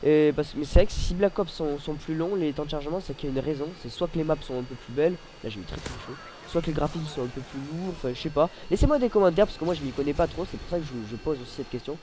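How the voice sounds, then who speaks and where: A man's voice: on the high side, fast, hardly any pauses, warm tone, slight background noise, rough recording. A man with a cold, commentating casually over his gameplay at a desk mic, rambling and hesitant with many fillers. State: has a cold. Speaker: gaming YouTuber.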